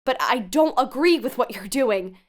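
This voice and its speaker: A woman's voice: fairly high pitch, expressive, moderate pace, close to an everyday voice. An actress playing a protective, insistent female investigative podcaster in an audio drama, questioning firmly and earnestly. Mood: reluctant, stern. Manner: probing, firm, earnest. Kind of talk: audio drama phone dialogue.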